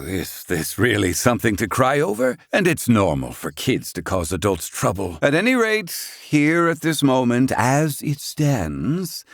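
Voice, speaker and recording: An actor playing a proud, gruff, excitable older scholar-inventor in a video game, speaking in formal, archaic, theatrical phrasing. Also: male voice, on the low side, no echo, studio quality, exaggerated voice. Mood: sincere, grateful, then wry, eager. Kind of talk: video game character acting.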